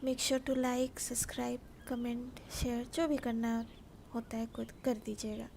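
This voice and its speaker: A woman's voice: fairly high pitch, level tone, rapid-fire, vocal fry, a little mellow, slight background noise. A woman with an Indian accent chatting casually as she hosts a game livestream. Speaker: game streamer. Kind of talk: casual livestream chat mixing Hindi and English.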